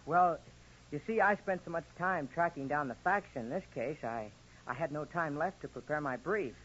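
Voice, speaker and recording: A man's voice: fairly high pitch, normal speed, a bit bright, close to an everyday voice. A man playing an upright young college hero, polite and firm, in 1940s radio acting style. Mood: modest. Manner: polite, clean-cut, firm. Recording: muffled, noisy 1940s radio recording.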